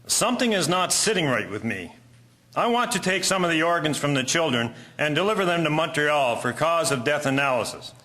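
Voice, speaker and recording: Radio drama role: doubting, methodical period inspector, male actor, sharp highish voice. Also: very animated, brisk. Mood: suspicious, firm. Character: suspicious, methodical male health inspector, early 1900s. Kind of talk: scripted radio-drama acting.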